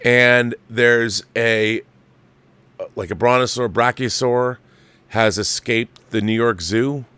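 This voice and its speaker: A man's voice: medium pitch, fairly even intonation, takes it slowly, bright, forceful tone, clear, resonant tone, no echo. A man hosting a livestream, giving casual, opinionated film commentary with a theatrical showman's turn of phrase.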